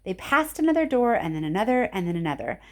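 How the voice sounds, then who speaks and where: A woman reads a story to children in a medium-pitched voice. She mixes narration with friendly, casual asides, talking directly to her listeners as she records at home on a phone. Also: very animated, fast talker, darkish tone. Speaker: reader addressing children. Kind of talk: read-aloud storytelling with casual chat. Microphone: cell phone camera.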